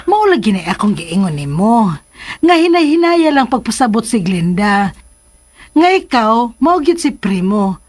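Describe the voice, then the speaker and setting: An actress playing a hopeful, supportive mother of a grown son in a Filipino radio drama, encouraging and measured, with a Filipino accent. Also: fairly high pitch, very animated, darkish tone.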